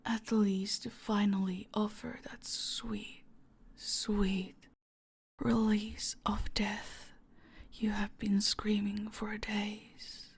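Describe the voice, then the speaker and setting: Slow, menacing mafia boss played by a woman. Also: mid-range voice, flat delivery, heavily acted. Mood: sadistic, hushed. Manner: deliberate, teasing, often dropping into breathy whispers. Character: menacing female mafia boss, calm, mockingly sweet, dominant. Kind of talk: roleplay audio acting, intimate and slow. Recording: music behind the voice.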